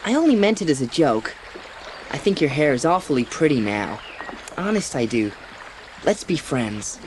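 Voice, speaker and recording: Proud schoolboy, plain-spoken, lively pitch swings, noisy river backdrop, audiobook drama. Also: male voice, moderate pace. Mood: apologetic. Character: contrite, proud teenage schoolboy. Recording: watery outdoor effects, noisy backdrop.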